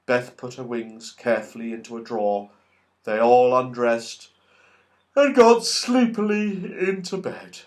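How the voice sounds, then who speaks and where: A man reading a children's story aloud as an audiobook narrator, expressive and animated. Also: mid-range voice, unhurried. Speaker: audiobook reader.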